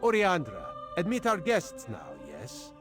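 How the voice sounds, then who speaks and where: A suave, sly feline agent for a fantasy game. He drawls slowly and coaxingly, his pitch swooping dramatically, over soft music. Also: huge pitch swings, exaggerated voice. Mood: wily. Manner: drawling, refers to himself in third person. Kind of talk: scripted video game dialogue.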